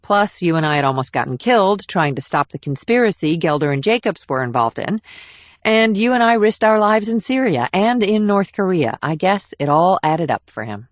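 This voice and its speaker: A woman's voice: lowish voice, very animated, normal speed, no room sound. A woman playing a guarded, world-weary covert operative with an understated manner in an audiobook. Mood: weary, unsure. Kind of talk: audiobook character dialogue.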